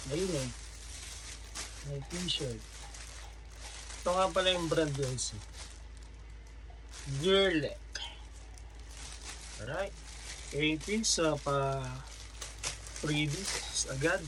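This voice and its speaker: A man with a Filipino accent casually unboxing a gadget in a vlog, relaxed and chatty with lots of fillers. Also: a little high, drawn-out words, long pauses, noisy background. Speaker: vlogger.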